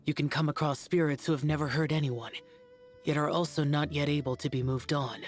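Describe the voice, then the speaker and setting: An actor playing an aloof, solemn young male guardian in a video game, with grave, measured, formal delivery and a husky, breathy voice. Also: fairly high pitch, fairly even intonation, close to an everyday voice. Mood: stern.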